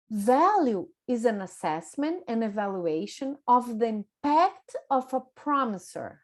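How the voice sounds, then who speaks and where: Woman with a Brazilian Portuguese accent and a somewhat high voice, a coach giving a deliberate, careful presentation over a video call. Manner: careful, deliberate, with small self-corrections. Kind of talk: prepared online presentation.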